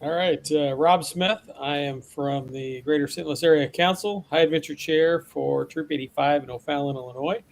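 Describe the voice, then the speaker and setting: Panelist: man, slightly high, gravelly, dry humor. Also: moderate pace, few pauses, slight background noise. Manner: dry, self-mocking, laughs often.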